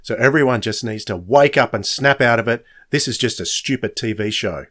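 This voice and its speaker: A man's voice: mid-range voice, normal speed, a bit bright. A man hosting a podcast, giving an opinionated monologue in an earnest, emphatic way, stressing points by repetition. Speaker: podcast host.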